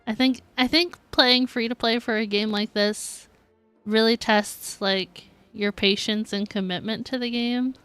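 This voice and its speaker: A woman's voice: a little high, steady tone, a little slow, a bit bright, smooth, no echo. A woman chatting casually to viewers as she streams a game. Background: game music.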